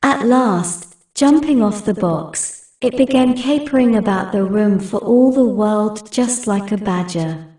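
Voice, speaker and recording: A woman's voice: medium pitch, unhurried, mellow. A woman narrating a fairy tale from a script as a storybook narrator. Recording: roomy, distant-sounding recording.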